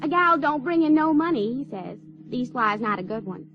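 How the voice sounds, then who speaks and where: High-voiced Ozarks country runaway girl. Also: expressive, moderate pace. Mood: plaintive. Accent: Ozarks rural American. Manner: rustic, plain-spoken, drawling. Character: folksy sixteen-year-old Ozarks runaway girl, artless and stubborn. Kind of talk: audio drama acting. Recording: dull lo-fi radio recording, faint noise.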